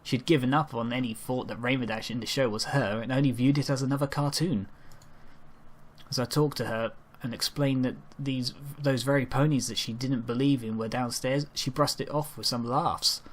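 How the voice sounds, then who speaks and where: Measured, subdued man reading a story. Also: medium pitch, fairly even intonation. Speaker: amateur story reader. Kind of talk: dramatic first-person story narration.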